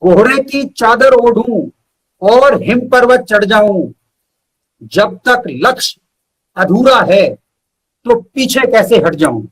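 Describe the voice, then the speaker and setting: A man's voice: sits high, lively intonation, takes it slowly, pauses often, fairly bright tone, poor audio. A man, a poet being interviewed, speaking earnestly and reciting with emphatic repetition. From India.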